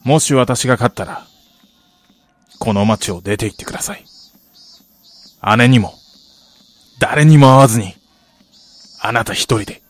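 男性が演じる恨みを抑えた丁寧な中年男. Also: male voice, medium pitch, soft background music, lightly acted. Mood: bitter, firm. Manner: formal, deliberate, accusing. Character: reproachful middle-aged brother-in-law, restrained, polite and bitter. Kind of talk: radio drama dialogue.

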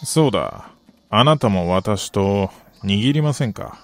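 An actor playing a middle-aged, wistful gambling soccer fan in a radio drama, polite and measured with a rueful, quietly menacing edge. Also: male voice, on the low side, expressive, a little hiss or hum. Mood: quietly challenging. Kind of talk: Japanese radio drama acting.

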